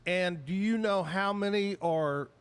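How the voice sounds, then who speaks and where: A man's voice: high-pitched, unhurried, smooth. A man hosting a live talk show, easygoing and chatty as he interviews a guest. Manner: easygoing, chatty, laughs often. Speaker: host.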